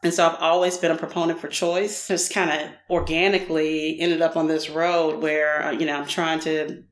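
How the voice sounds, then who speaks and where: A woman's voice: sits low, little pitch movement, moderate pace. A middle-aged woman from Nashville, a podcast guest, answering in a candid, casual way with many fillers. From Nashville, Tennessee. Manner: frequent fillers, thoughtful and candid. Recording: roomy echo.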